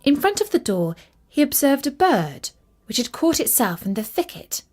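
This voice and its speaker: A woman reading a scripted fairytale for children in an expressive, deliberate storytelling delivery. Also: high voice.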